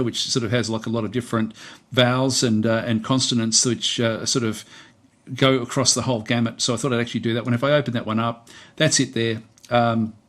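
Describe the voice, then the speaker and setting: A man with a mid-pitched voice presents a software walkthrough in an informal, off-the-cuff way. He rambles and hesitates, circling back over the same points as he explains each step. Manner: rambling, hesitant, repeats himself. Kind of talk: casual unscripted screen-recorded tutorial and review.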